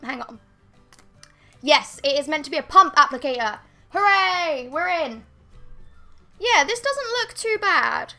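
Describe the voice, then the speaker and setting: A young woman in her twenties, vlogging a makeup review at her desk at home, her voice a bit croaky from being ill. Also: sits high, sing-song, measured pace, long pauses, bright, forceful tone, faint music behind. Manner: chatty, rambling, self-deprecating. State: slightly croaky from illness. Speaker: beauty vlogger. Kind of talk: casual makeup review to camera. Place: bedroom with bookshelves, indoors. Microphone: fixed camera at desk.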